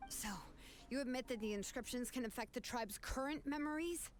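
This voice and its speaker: A woman voicing a shrewd young video game character who reasons aloud in a measured, pointed way. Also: high-pitched, soft background music, natural voice. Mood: pointed, suspicious. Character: probing, shrewd young woman, calmly pressing with suspicion.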